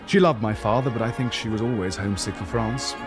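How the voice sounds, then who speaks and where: An actor playing a seasoned wartime resistance leader in a radio drama, firm and plain-spoken. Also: male voice, lowish voice, quick pace, over music, lightly acted. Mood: relaxed, reflective.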